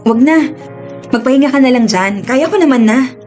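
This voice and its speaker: A woman's voice: sits high, expressive, moderate pace, a little mellow, soft background music. An actress playing a protective, caring older sister, a married working woman, in a radio drama. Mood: caring, brisk.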